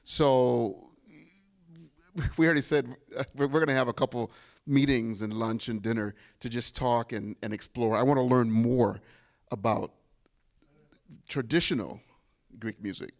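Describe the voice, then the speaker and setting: Gravelly middle-aged bandleader chatting to an audience. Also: male voice, mid-range voice, measured pace. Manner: animated, restarts often, laughs easily. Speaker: bandleader and composer. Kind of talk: casual talk to a live audience. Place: venue with a live audience.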